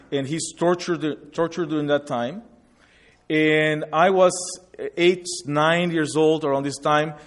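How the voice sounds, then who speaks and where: Halting man in his forties. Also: on the high side. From Guatemala. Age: 40s. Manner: halting, with many restarts and fillers. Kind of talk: personal testimony talk.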